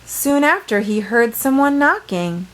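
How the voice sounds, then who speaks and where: A woman reading a picture book aloud for children, expressive and playful, with dramatic phrasing and small asides. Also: fairly high pitch, unhurried.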